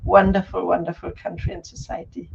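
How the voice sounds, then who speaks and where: A woman whose first language is German, speaking in a friendly, measured way as a guest on an online panel. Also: fairly low pitch, little pitch movement, gravelly. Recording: muffled call audio with noise.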